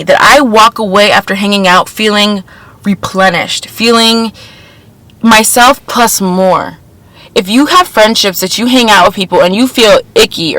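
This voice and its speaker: A woman's voice: mid-range voice, a bit bright, no room sound, rough recording. Earnest congested young woman, dry sound. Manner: earnest, repeats phrases, spiritual wording. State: congested, a little nasal. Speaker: podcast host. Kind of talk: casual spiritual self-help chat.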